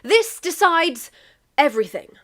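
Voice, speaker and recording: A woman voicing a tipsy, pompous, stubborn man in a story, with halting drunken declarations. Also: very high-pitched, sing-song, fairly bright tone, voice pushed up, full character voice. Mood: drunkenly resolute.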